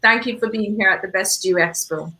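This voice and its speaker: Bright-voiced, effusive Jamaican woman. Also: medium pitch, a little fast, low-quality recording. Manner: effusive, emphatic. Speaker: event host. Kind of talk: unscripted panel discussion talk.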